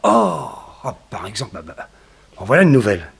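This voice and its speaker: An actor playing an older, respectable widower who is flustered and evasive, stammering and protesting in a 1960s French radio serial. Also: male voice, medium pitch, wildly swooping pitch, brisk, vocal fry, a bit bright, exaggerated voice. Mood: alarmed, stammering. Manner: stammering, hesitant, indignant protests.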